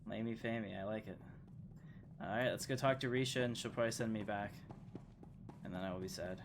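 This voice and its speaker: A man's voice: lowish voice, brisk, background noise. A man giving casual live gameplay commentary as a streamer, chatting and rambling to his viewers as he plays. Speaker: game streamer, host.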